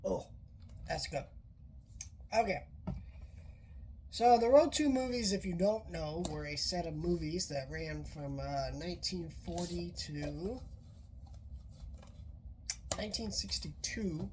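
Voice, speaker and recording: A woman's voice: on the low side, slow pace, long pauses, darkish tone, slight background noise. A woman who is sick and sounds off, casually reviewing a movie in a rambling way with many fillers and restarts. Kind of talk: casual spoken film review.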